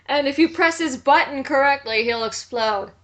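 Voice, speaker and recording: A woman's voice: high voice, normal speed, fairly bright tone. A woman chatting casually and mockingly as a commentator in a group fan critique. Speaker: commentator in a group dramatic reading.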